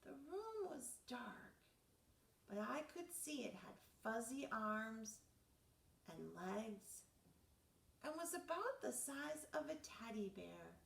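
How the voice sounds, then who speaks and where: Woman doing expressive storybook reading, unhurried n animated, sounds roomy and away from the mic. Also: fairly high pitch, vocal fry. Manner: animated, dramatizing dialogue for children. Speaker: storyteller reading a children's book aloud. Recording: roomy echo, distant mic.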